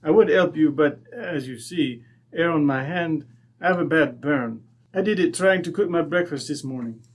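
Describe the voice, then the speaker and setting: A man playing a proud, courteous French viscount with a French accent and halting, formal English in a radio drama. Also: on the high side, lightly acted. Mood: wistful, polite. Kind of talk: old-time radio drama acting.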